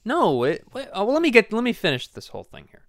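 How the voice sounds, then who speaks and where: A man's voice: high-pitched, wildly swooping pitch, quick pace, fairly bright tone, no room sound, clean studio sound. A young man hosting a casual podcast, teasing and playfully questioning his grandmother. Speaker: podcast host.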